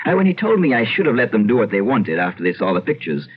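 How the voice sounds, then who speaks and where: An actor playing a pompous Irish gentleman landowner, emphatic and often interrupting himself, in an old-time radio drama. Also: fairly low pitch, very animated, fast talker, slightly rough. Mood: uneasy doubt. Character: blustery Irish gentleman landowner, imperious, skeptical yet uneasy. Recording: muffled, hissy old radio recording.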